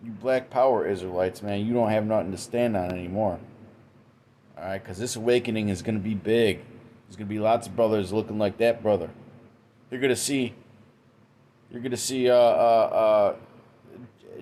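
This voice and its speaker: A man's voice: fairly low pitch, moderate pace, long pauses, a little mellow, slight background noise. Man preaching, ending lines with okay?. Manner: tags almost every sentence with "okay?" and "man"; frequent restarts and repeated words. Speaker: religious commentator. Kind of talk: informal preaching monologue. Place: indoors, Western New York.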